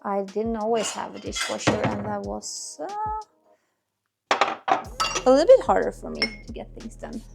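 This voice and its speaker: A woman's voice: mid-range voice, very animated, measured pace, gravelly, pauses often. A woman with a Swedish accent, probably in her thirties, chatting casually to camera while cleaning her kitchen, often searching for words and restarting sentences. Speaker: cleaning vlogger. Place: home kitchen. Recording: music playing underneath. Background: dishes clinking, quiet music.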